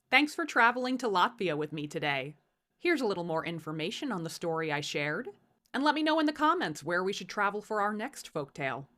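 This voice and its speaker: A woman hosting and narrating folktales in an expressive, theatrical storytelling style made for social video. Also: mid-range voice. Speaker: storyteller and series host.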